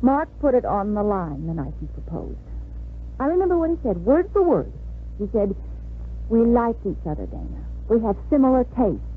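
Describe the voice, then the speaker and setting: A bitter young bride-to-be, old radio sound. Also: female voice, on the high side, sing-song, moderate pace. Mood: wry, flat resignation. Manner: wry, world-weary. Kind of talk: 1950s radio drama acting. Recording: muffled, noisy 1950s radio recording.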